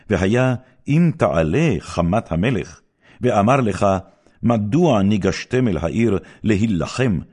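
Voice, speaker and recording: Deep, gravelly man reading scripture dramatically. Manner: solemn, measured, expressive storyteller delivery.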